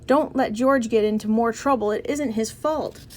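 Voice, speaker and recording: Woman reading as a spirited, high-voiced schoolgirl. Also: normal speed, darkish tone, close to an everyday voice. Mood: pleading. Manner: frank and emphatic. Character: spirited, firm, outspoken young schoolgirl in a storybook.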